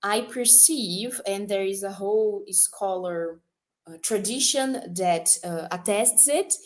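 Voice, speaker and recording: A woman whose first language is Portuguese asks a scholarly question at an academic event, hesitating and correcting herself. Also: mid-range voice. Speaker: event moderator and student.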